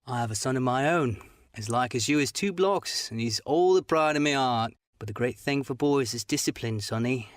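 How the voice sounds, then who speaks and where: Man reading as a sneering fawning old pirate, wheedling, mid-pitched, dry close audiobook sound. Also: moderate pace, dry recording, lightly acted. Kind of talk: audiobook character voice.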